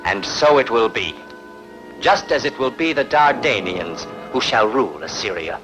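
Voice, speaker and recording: An actor playing an ambitious, ruthless warlord in a dubbed historical epic, speaking in a grand, declamatory way. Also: male voice, mid-range voice, lively intonation, moderate pace, music in the background, natural voice. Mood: proud, resolute. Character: ambitious, ruthless, proud conquered warlord plotting to seize a throne.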